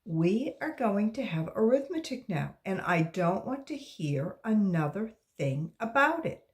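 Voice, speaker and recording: A firm woman schoolteacher from a children's storybook, as a woman reader acts her. She is insistent and unflappable, spelling things out slowly with lively rises and falls. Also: mid-range voice. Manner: firm, instructive. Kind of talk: children's storybook read-aloud.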